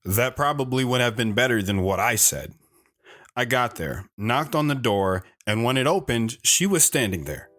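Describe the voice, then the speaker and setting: A man's voice: mid-range voice, animated, normal speed, a bit bright. A man narrating his own past as a scripted drama, telling it plainly while looking back.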